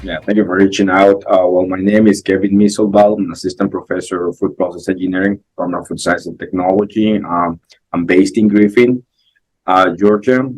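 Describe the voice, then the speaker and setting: A man's voice: sits low, fairly even intonation, a little fast, a little mellow, faint music behind, poor audio. A man from Guatemala, an assistant professor, answering interview questions casually with many fillers and restarts.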